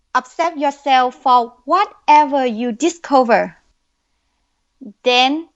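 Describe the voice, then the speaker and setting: Young woman with a Vietnamese accent, high and slow, on dull lo-fi audio; podcast guest. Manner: slow, careful, earnest speech. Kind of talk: podcast interview answers.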